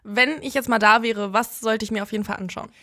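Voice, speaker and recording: A woman's voice: a little high, steady tone, brisk, sharp tone. A young woman talking casually as the co-host of a podcast. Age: young adult. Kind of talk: casual conversational podcast talk.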